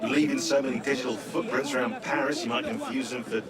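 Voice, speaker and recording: A man's voice: mid-range voice, steady tone, quick pace, close to an everyday voice. Actor as cheeky man, distant amid crowd noise. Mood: defensive, teasing. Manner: casual, teasing. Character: cheeky, defensive male tech accomplice. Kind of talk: dramatic video game voice acting.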